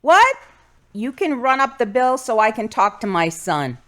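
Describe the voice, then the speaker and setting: A woman's voice: on the high side, sing-song, measured pace, a bit bright. A woman acting an older, playful, nagging and affectionate mother in an audio drama. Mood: fussy, playful.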